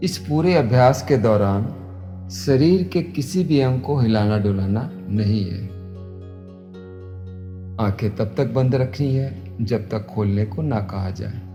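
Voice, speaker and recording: A man, a yoga instructor leading guided meditation in measured, repetitive instructions. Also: medium pitch, warm tone, lo-fi sound. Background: new-age music.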